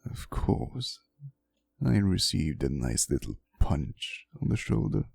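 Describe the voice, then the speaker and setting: A man playing a centuries-old romantic vampire boyfriend in an intimate ASMR roleplay monologue, speaking softly and hesitantly, teasing and wistful with faint menace. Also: low voice, sing-song, creaky, husky, exaggerated voice. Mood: fond, teasing. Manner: soft, slow, hesitant storytelling with teasing asides. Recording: soft music behind the voice. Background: quiet instrumental music.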